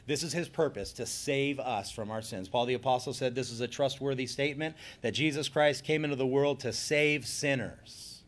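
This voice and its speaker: A man preaching a sermon, earnest and emphatic, slowing down on key phrases and then leading a closing prayer. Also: medium pitch. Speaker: pastor.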